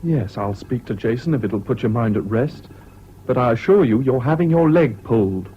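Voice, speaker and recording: A man's voice: mid-range voice, lively intonation, moderate pace, natural voice. Guy playing earnest young english student in old bbc style radio drama, voice moves around a lot, muffled tape. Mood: calm, dismissive. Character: Henry Payne, earnest young Cambridge student narrating his past. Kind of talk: 1970s British radio drama acting and narration. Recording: dull, hissy old radio recording.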